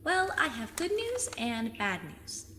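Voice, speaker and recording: Woman acting as teen girl detective, highish voice, very animated, cheeky, self-assured, audio drama. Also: takes it slowly, a little hiss or hum, heavily acted. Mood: cheeky, scheming. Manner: eager, cheeky, mock-formal, self-assured. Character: plucky, overconfident fifteen-year-old girl sleuth.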